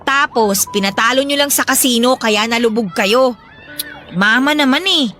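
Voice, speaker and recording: A woman's voice: a little high, normal speed, bright, forceful tone. An actress playing a frustrated young woman who complains and pleads with her gambling mother in a radio-style drama. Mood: complaining.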